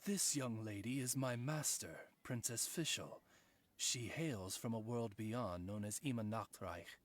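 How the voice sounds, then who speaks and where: An actor voicing a polite, erudite raven servant in a video game, speaking in formal, measured phrases. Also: male voice, fairly low pitch, a little hiss or hum, natural voice. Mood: courteous, composed. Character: courteous, erudite male talking raven, loyal servant.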